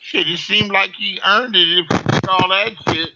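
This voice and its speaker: A man with a high-pitched voice trading crude, mocking jokes in casual banter. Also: fairly even intonation, normal speed, dull audio, background noise. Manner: crude loud roasting banter.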